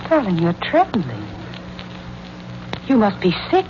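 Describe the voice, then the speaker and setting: An expressive radio-drama sweetheart. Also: female voice, mid-range voice, slow pace. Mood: concerned. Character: doting sweetheart, coy then concerned. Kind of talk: 1940s radio acting. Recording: muffled, noisy old radio recording.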